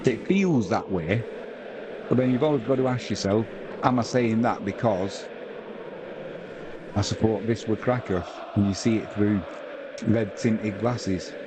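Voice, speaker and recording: A lower-voiced English man calls a football match live, mixing play-by-play with easygoing chat aimed at his viewers. Clear background noise sits under his voice throughout the stream. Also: a little slow, long pauses. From England. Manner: conversational play-by-play, reading out viewer comments. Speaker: watch-along commentator.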